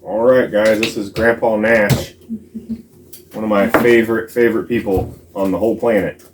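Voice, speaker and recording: A man's voice: on the low side, steady tone, normal speed, background noise. A man hosting a family vlog, chatting casually and telling personal stories. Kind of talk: casual chat and personal storytelling.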